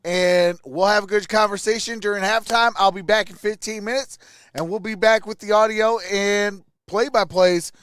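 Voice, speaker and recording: A man with a high, smooth voice hosts a live sports stream. His delivery is casual reaction commentary, and the sound is dry with hardly any room to it. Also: fairly even intonation, moderate pace, a bit bright, dry recording.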